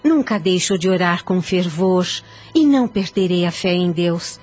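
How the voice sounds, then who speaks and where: Anxious pious young lady in an old-style radio soap, breathy husky voice, pleading, bit of music behind. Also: lowish voice, expressive, normal speed, a little mellow. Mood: worried, devout. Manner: earnest, formal, pleading. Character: devout, anxious young woman, gentle and noble. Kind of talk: period radio drama acting. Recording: music under the voice. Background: background music.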